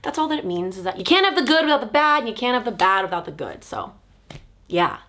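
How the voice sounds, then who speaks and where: A woman's voice: on the high side, sing-song, quick pace, bright, forceful tone. An American woman aged thirty chatting casually and confidingly to her viewers as a vlog host, with self-deprecating asides. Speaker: video channel host. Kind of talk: casual personal vlog chat to camera. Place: bedroom at home, sitting on the floor.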